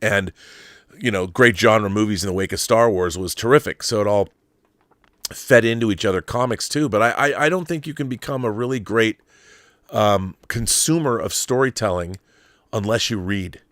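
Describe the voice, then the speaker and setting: A middle-aged man with a fairly low voice chats as the host of a livestream. He speaks in an emphatic, conversational way, doubling back and restarting phrases as he works out his thoughts. The sound is dry, with little room in it. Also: fairly bright tone, no echo. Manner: halting, repeats words and rephrases as he thinks aloud. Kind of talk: casual live chat answering viewer messages.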